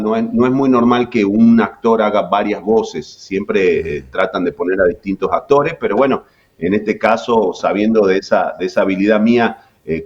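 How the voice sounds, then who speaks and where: A man's voice: lowish voice, muffled recording. A man in his forties with an Argentine accent, telling stories as a guest in an interview. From Jujuy, Argentina. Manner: chatty, many fillers. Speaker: voice actor guest. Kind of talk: relaxed interview storytelling.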